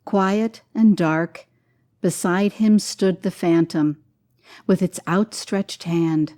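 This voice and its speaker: A woman reading a classic story as a dramatic narrator, deliberate and expressive, with weighty pauses. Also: on the low side. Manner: deliberate, expressive, pausing on each item. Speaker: storyteller, reader.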